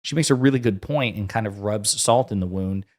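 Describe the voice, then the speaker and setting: A man with an American accent and a fairly low voice hosts a political commentary show. He speaks casually and argues his points with emphasis. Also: fairly quick, a little mellow. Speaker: host commentator.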